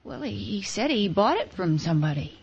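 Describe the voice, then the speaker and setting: A woman's voice: medium pitch, sing-song, a little fast, vocal fry, breathy edge, exaggerated voice. An actress playing a spirited, scheming young frontier woman in an old radio western, coy and impulsive. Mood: flustered. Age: young adult. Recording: dull vintage radio recording.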